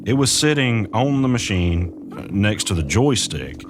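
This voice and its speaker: An actor playing an uneasy man who recounts eerie events in measured, suspenseful first-person audio drama narration. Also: on the low side, big pitch swings, background noise. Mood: tense recounting. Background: music.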